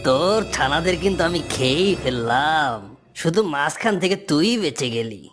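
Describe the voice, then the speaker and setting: A woman's voice: deep voice, animated, moderate pace. A woman voicing a sly, greedy, bullying fox in a children's fairy-tale cartoon. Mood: gloating. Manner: scheming, threatening, gloating. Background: music.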